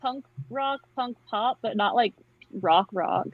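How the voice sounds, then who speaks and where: A woman's voice: fairly high pitch, slow pace, lo-fi sound. A woman chatting casually and informally with friends as a guest on a group live show, poking fun at herself. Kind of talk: casual chat among friends.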